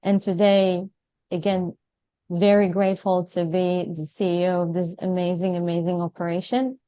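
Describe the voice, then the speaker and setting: A woman's voice: fairly low pitch, flat delivery, moderate pace, mellow, clear, resonant tone, no room sound. Upbeat woman, dry close recording. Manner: upbeat, grateful, self-described go-getter. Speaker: company CEO, podcast guest. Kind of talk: conversational interview.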